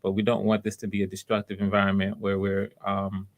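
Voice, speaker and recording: A man hosting a livestream, explaining things casually in a halting way and often repeating himself. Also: lowish voice, monotone, phone-quality sound. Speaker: livestream host running voice auditions. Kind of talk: casual live announcements to viewers.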